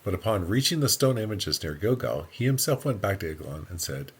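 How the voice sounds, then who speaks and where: A man's voice: sits low, big pitch swings, brisk, breathy edge. A man co-hosting a podcast, reading scripture aloud and dropping in wry, joking asides in a casual way. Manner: jokey, wry.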